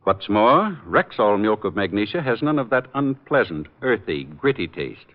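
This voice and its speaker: An American man with a low, animated voice delivers a scripted druggist's sales pitch. It is folksy 1940s radio advertising on a muffled recording. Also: normal speed.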